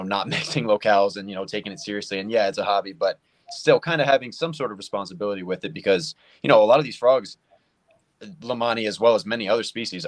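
Man, low voice, laid-back, rambling, interview guest. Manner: relaxed, rambling, lots of fillers. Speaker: guest, frog keeper. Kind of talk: casual interview answer.

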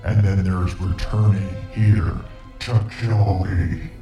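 A man playing a menacing interdimensional monster in a sci-fi audio drama, speaking with slow, deliberate threat. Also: low-pitched, steady tone, dull audio. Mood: cold menace. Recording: echoing voice under music.

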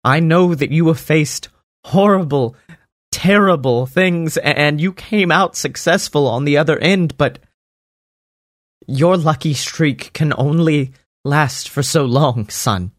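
A man voicing an earnest elven woman who gravely pleads and warns. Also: a little high, slow, no echo, studio quality. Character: earnest elven woman, frail-looking but strong.

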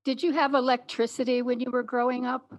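A middle-aged woman hosting an interview, speaking in a clear, measured way. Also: high voice, level tone, slight background noise. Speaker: podcast host and interviewer.

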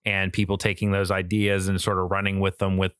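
American man, thirties, slightly low pitch, crisp bright tone, enthusiastic rambling delivery, profane podcast chat. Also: completely flat delivery, a little fast, no echo, studio quality. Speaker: podcast co-host. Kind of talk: casual chat.

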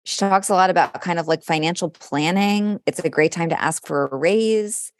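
A woman's voice: lowish voice, moderate pace. A woman co-hosting a podcast in casual chat, reading horoscopes aloud in a conversational way with some dramatic delivery. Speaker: podcast co-host.

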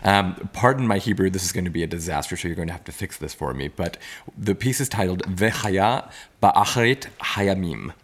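Deep, husky male co-host. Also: animated, a little fast. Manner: earnest, self-deprecating, stumbles over words. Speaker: podcast co-host. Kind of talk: podcast interview.

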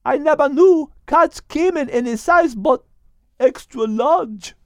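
A man's voice: extremely high pitch, takes it slowly, dry recording, clean studio sound, voice pushed up, exaggerated voice. A man voicing a friendly, polite female giraffe in a storybook reading, courteous and full of wonder.

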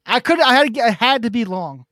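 A man co-hosting a podcast, making quick joking asides. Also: extremely high pitch, expressive, bright, forceful tone. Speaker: podcast co-host.

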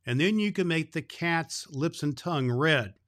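A man's voice: a little high, animated, moderate pace, fairly bright tone, pitched above the performer's own voice. A man voicing helpful little flower elves in a children's story, eager and sing-song.

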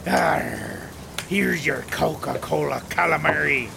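An actor playing a grumpy, scolding pirate cook with pirate-speak in a comedy audio drama. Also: male voice, on the high side, lively intonation, takes it slowly, gravelly, noisy background, full character voice. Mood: gruff, businesslike. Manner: blustering, scolding.

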